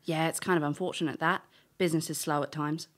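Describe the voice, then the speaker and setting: Actress as cheeky female elf adventurer, audio drama storyteller, slightly low pitch, sharp edge, blunt, brash. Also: steady tone, fast. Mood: casual. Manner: blunt, cocky, informal. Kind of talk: audio drama acting and first-person storytelling.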